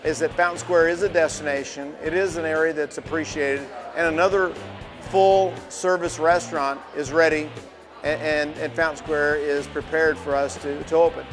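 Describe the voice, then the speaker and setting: An older man who owns a building, answering interview questions in a halting way with frequent restarts. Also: on the high side, steady tone, over music, low-quality recording. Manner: halting, restarts, self-correcting. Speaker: building owner.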